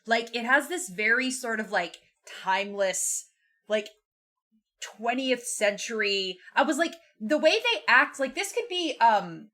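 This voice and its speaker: A woman's voice: on the high side, lively intonation, a little slow, pushed and bright. A woman co-hosting a casual book discussion, irreverent and sweary, with "like" peppered through her sentences. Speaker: book podcast co-host.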